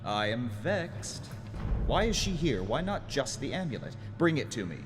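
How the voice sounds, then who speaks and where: A grandiose, domineering villain lord, acted by a man, gloating and commanding. His delivery swings widely in pitch, inside a long cavernous reverb with music under it. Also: sing-song, normal speed, close to an everyday voice. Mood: languid menace. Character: gloating, domineering dark lord who feeds on dreams. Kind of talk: audio adventure villain acting.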